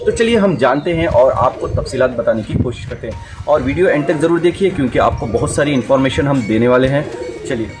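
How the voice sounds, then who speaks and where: A man's voice: medium pitch, lively intonation, a little fast, music in the background. A man in his thirties hosting a casual vlog outdoors at a farm, speaking directly to his viewers in a friendly, enthusiastic way. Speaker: vlogger touring a goat farm.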